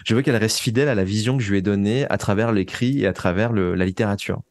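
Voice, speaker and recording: A man's voice: lowish voice, fast talker, no echo. A man speaking casually as an interview guest, often repeating words as he searches for phrasing. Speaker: interview guest, audio fiction writer.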